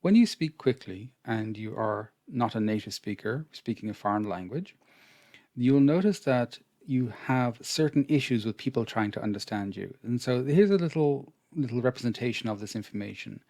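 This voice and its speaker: Irish guy teaching english over slides, patient n measured, soft dark tone, really dry recording. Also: mid-range voice, lively intonation, dry recording. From Ireland. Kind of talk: explanatory lesson over slides.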